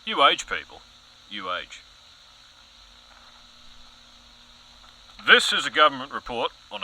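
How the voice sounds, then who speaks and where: Pompous, forceful news anchor. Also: male voice, medium pitch, full character voice, low-quality recording. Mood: world-weary, insistent. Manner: pompous, melodramatic, emphatic pauses. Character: overzealous, self-important male news anchor spiralling into conspiracy. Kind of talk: mock current-affairs broadcast, scripted audio comedy.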